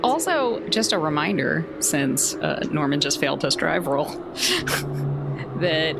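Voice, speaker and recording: A woman's voice: sits low, expressive, measured pace, vocal fry, very noisy. A woman from south Louisiana chatting casually as a tabletop game player, blunt and wry. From south Louisiana, United States.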